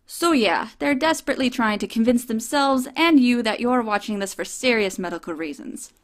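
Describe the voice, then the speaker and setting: A woman's voice: fairly high pitch, moderate pace. A woman reviewing an old film in a sardonic, casually profane comedic style.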